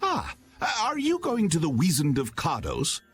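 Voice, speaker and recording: A man's voice: on the high side, huge pitch swings, measured pace, slightly rough, faint music behind, full character voice. A man playing an obliging but put-out traveling merchant in a video game, earnestly explaining things. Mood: informative. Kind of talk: video game cutscene voice acting.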